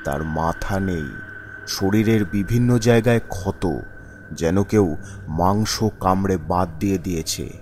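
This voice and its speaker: A man's voice: sits low, unhurried, darkish tone, slight background noise. A man voicing an uneasy village shopkeeper who narrates a cartoon ghost story in a brooding, questioning manner. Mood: grave.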